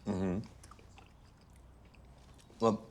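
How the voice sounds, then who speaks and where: An actor playing a self-absorbed, evasive boyfriend in a dark comedy film, speaking with hesitant, formal politeness in a naturalistic way. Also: male voice, very slow, a little hiss or hum. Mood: politely detached.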